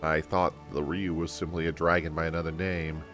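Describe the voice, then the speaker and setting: A man's voice: very deep voice, little pitch movement, fairly quick, a bit bright. Man playing a polite, formal fantasy game mascot keeper, earnest and anxious, music behind. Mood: thoughtful, formal. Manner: formal, old-fashioned politeness.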